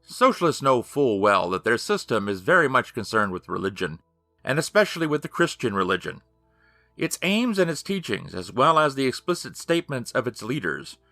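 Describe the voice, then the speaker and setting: A man's voice: mid-range voice, sharp tone, no echo. A man narrating an audiobook in a formal, emphatic, declamatory manner.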